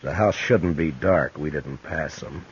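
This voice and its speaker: Deep-voiced, courteous frontier marshal. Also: male voice, unhurried. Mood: concerned. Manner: hesitant, plain-spoken, polite. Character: sober, courteous frontier marshal, reserved and troubled. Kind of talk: 1950s radio western acting. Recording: muffled, noisy 1950s radio recording.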